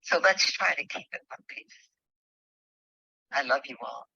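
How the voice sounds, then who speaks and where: A woman who is a spiritual leader, speaking haltingly with pauses as she reads and shares devotional thoughts with a group over a phone call. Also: a bass voice, expressive, vocal fry, background noise. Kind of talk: devotional reading and heartfelt group sharing. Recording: dull, dry online call audio.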